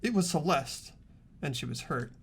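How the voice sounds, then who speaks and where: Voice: medium pitch, expressive, normal speed, vocal fry, a little hiss or hum. A young performer voicing an earnest, anxious teenage boy who narrates and questions in a dramatic video game. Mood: tense narration.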